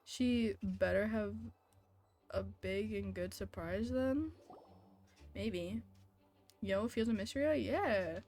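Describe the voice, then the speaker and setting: A woman's voice: medium pitch, takes it slowly, long pauses, a little mellow. A woman live-streaming a video game with casual commentary, playfully sarcastic as she talks back to chat and game.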